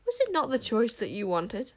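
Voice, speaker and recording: A woman voicing a calm, dignified male enchanter king, measured and ironic, in an audiobook story. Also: fairly high pitch. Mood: calm, sympathetic.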